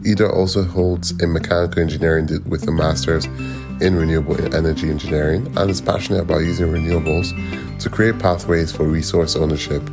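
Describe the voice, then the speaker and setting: A man reading a scripted show introduction in a measured way. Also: very deep voice, fairly even intonation. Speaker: show narrator. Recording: music bed behind the voice.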